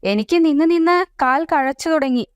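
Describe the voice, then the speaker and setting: Woman as a grumpy, high-voiced storybook owl. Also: expressive, normal speed, no room sound, studio quality. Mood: annoyed. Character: grumpy, impatient owl friend.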